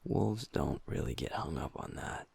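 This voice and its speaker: A man voicing a troubled young werewolf who is his pack's second in command, speaking softly and hushed, swearing and criticizing himself in an intimate audio roleplay. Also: very deep voice, expressive, unhurried, creaky, slightly rough, full character voice. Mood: reflective. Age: young adult.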